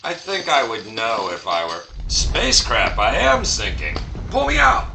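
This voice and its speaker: A man's voice: on the low side, sing-song, unhurried, bright, forceful tone, a little hiss or hum, exaggerated voice. A man playing an indignant, melodramatic spaceship captain in a comic sci-fi audio drama, theatrical and grumbling. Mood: indignant panic.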